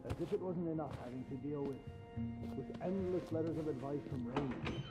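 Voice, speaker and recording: Actor doing a fed up hotel manager, highish voice, impatient, music clearly behind. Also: male voice, brisk, lightly acted. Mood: irritated. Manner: impatient, exasperated. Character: exasperated, defensive male hotel manager. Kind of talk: dramatic video game acting.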